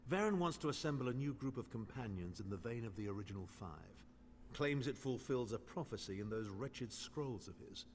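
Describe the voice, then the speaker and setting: A man's voice: mid-range voice, lively intonation, a little fast, few pauses, a little hiss or hum, lightly acted. Guy voicing a snooty old imperial mage, sarcastic, game dialogue, echoey reverb. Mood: condescending. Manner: condescending, dryly sardonic. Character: haughty older male imperial noble mage.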